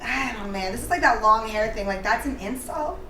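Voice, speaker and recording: A woman's voice: on the high side, animated, fairly quick. A woman on a comedy show panel, reacting and bantering casually. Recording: echoey distant live room, loud crowd, noise.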